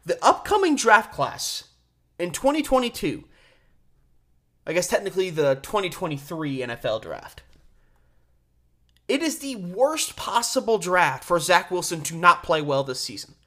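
A man hosting a sports radio show solo, giving opinionated, emphatic commentary with deliberate pauses and rhetorical questions. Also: high-pitched, big pitch swings, bright, forceful tone.

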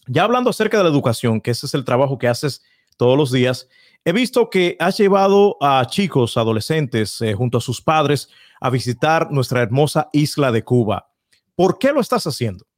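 A man's voice: mid-range voice, sing-song, normal speed, no room sound, studio quality. A man hosting a podcast, summarizing his guest's answers and asking thoughtful questions. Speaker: podcast host. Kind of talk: interviewing.

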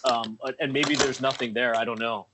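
A man's voice: mid-range voice, fairly even intonation, moderate pace, noisy background. A man hosting a casual chat, hesitant, repeating words and using many fillers. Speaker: host. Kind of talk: casual conversation.

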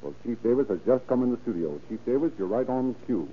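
A man's voice: medium pitch, expressive, fast talker, slight background noise. A man announcing and narrating in an emphatic, formal, scripted 1930s radio style. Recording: tinny 1930s radio recording with music.

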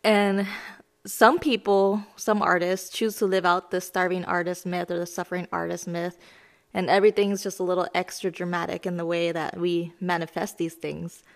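A woman in her thirties hosting a self-help lesson podcast, her voice raspy as she gets over being sick, talking in a chatty, self-deprecating, explanatory way. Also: fairly low pitch, level tone, normal speed. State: raspy voice from recent illness. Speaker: podcast host.

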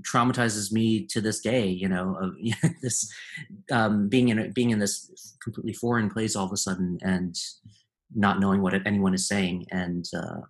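A man's voice: fairly low pitch, fairly even intonation, moderate pace. A man speaking as a guest in a casual conversation, thoughtful and searching for his words as he goes. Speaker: guest composer.

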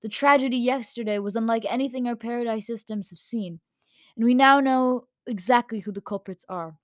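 A woman voicing a formal, sinister male leader in a story, giving a measured official address. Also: a little high, no echo, lightly acted. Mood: grave, ominous.

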